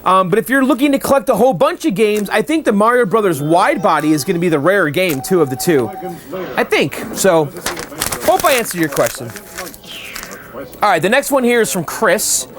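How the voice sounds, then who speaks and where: A man's voice: high-pitched, sing-song, brisk. A man hosting a casual show, answering viewer mail in a chatty way and often checking in with "okay?". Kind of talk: casual chat.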